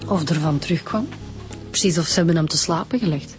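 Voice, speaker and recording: A woman's voice: sits low, fairly quick, darkish tone, faint music behind, close to an everyday voice. Actress as a playful Flemish woman detective. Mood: matter-of-fact. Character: playful, observant female police detective. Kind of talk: radio drama acting.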